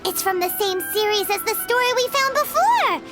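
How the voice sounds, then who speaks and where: Casting: childlike game fairy guide, very high and animated, exclaims a lot, music behind. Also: female voice, moderate pace. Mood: excited. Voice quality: squeaky, childlike. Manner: excitable, exclaiming, refers to self in third person. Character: tiny excitable childlike female fairy companion, chatty guide. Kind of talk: video game voice acting.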